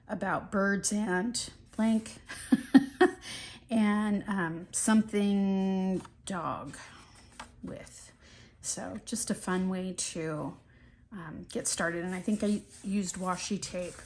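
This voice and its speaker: A middle-aged woman sniffling and a bit scratchy-throated from allergies, chatting casually through an art journal flip-through with lots of ums and restarts. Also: medium pitch. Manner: relaxed, rambling, many ums and restarts.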